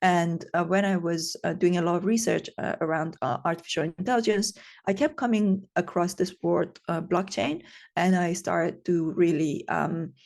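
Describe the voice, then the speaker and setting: Gravelly-edged woman from Iran. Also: on the low side, fairly even intonation, moderate pace, darkish tone. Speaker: guest, tech founder. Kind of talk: casual interview answers.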